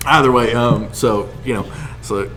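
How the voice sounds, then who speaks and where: Against clearly noisy audio, a man swaps casual stories on a podcast. His voice sits at an ordinary pitch, often breaks into laughter, and acts out little reactions as he jokes along. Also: unhurried. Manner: joking, laughs often while talking. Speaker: podcast co-host. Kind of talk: casual storytelling banter.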